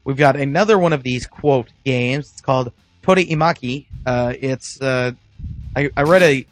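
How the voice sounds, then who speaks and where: A man co-hosting a casual gaming podcast, excitable and jokey, rambling through his stories. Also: mid-range voice, moderate pace, dry recording, faint music behind. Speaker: podcast co-host. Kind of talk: casual chat.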